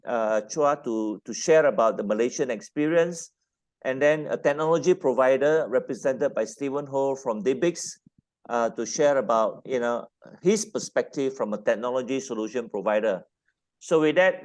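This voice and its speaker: A man's voice: medium pitch, a little mellow. Formal male panel moderator. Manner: formal, with filler pauses.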